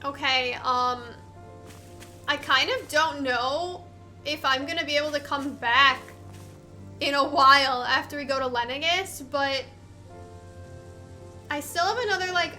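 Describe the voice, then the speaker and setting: A woman's voice: sits high, measured pace, long pauses, sharp tone, soft background music. A young woman hosting a let's play, giving casual, sentimental, gushing commentary as she goes.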